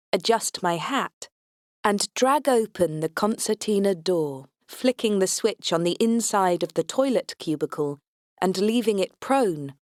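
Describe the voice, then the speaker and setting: A woman's voice: mid-range voice, no echo, studio quality. A woman reading a science fiction comedy audiobook aloud in the first person, with a wry, tongue-in-cheek delivery. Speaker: audiobook narrator.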